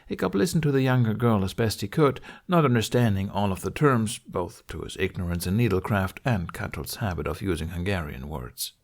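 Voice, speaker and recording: Low-voiced man narrating a story. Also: animated, a little fast, few pauses. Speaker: audiobook narrator.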